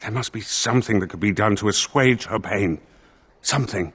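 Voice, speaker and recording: A man's voice: lowish voice, moderate pace, fairly bright tone, slightly rough. An actor playing a grave, formal spirit inhabiting a dead man's body in a fantasy video game. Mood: troubled, grave.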